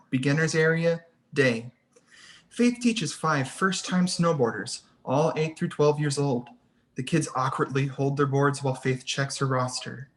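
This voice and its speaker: A woman reading screenplay stage directions as the narrator of a table read. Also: low voice.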